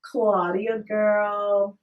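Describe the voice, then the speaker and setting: Proud Guyanese woman, lively and emphatic, as a woman puts her on, roomy far-off sound. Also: mid-range voice, drawn-out words, narrow, tinny audio. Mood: boastful. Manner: lively, emphatic, creole phrasing. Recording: roomy echo, distant mic.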